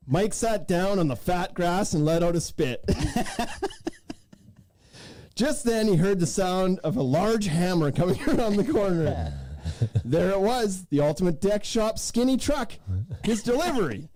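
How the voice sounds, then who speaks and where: Man, higher pitch, very animated intonation, mellow tone, dry close sound, jokey podcast host. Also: unhurried, no echo. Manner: jokey, laughs through sentences. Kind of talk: casual chat, reading silly Mad Lib stories aloud.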